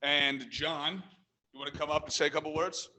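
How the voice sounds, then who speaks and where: A man's voice: on the high side, normal speed, poor audio. A man hosting a team meeting, opening it with upbeat remarks to the room and bringing up the first speaker. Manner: upbeat, animated emcee. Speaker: meeting host.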